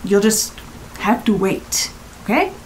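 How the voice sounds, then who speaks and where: An actress playing a blunt, exasperated rental guest in an audio drama, with emphatic, clipped phrases. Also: female voice, mid-range voice, big pitch swings, slow, a little hiss or hum, low-quality recording. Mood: incredulous, firm. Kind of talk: naturalistic audio drama acting.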